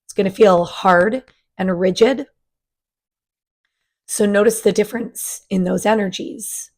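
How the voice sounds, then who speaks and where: A woman, raspy after a sinus virus, giving a casual spiritual energy forecast to her channel in a gentle, chatty way. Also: mid-range voice, steady tone, measured pace. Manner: gentle, chatty, with many fillers and restarts. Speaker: spiritual coach and channel host.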